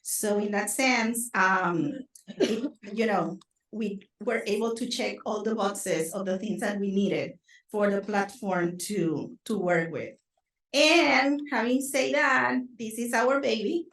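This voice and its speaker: Woman, conference presenter, slow and halting, echoey room, heard from a distance. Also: medium pitch, a bit bright. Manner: halting, many fillers and restarts. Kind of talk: academic conference talk. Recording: roomy and distant from the mic.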